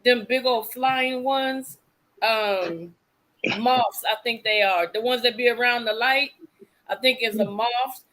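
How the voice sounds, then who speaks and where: Chatty woman host, bright and a bit high, loose rambling delivery. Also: slow pace, poor audio. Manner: chatty, rambling, acts out quotes. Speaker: livestream host. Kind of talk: casual chat. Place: desert home.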